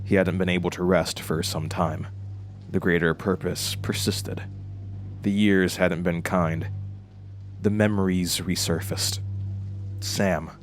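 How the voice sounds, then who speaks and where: A man playing a haunted, brooding protagonist who narrates his traumatic past haltingly in an audio drama. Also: low voice, fairly even intonation, slight background noise. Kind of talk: scripted first-person audio drama narration.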